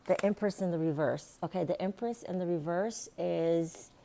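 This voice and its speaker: A woman with a raspy, hoarse voice giving a casual tarot reading, rambling with many fillers and restarts. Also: lowish voice, moderate pace, mellow, slight background noise. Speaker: tarot reader.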